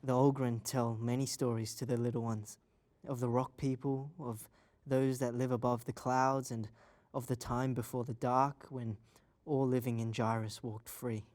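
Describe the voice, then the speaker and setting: A man's voice: mid-range voice, flat delivery, moderate pace, darkish tone, natural voice. A man playing a serious, reserved traveller in a fantasy table read.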